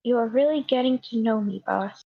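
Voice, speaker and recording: A woman's voice: a little high, a little fast, vocal fry. A woman playing a brash female nurse and driver in an amateur screenplay table read. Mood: obliging. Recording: muffled, lo-fi online meeting mic.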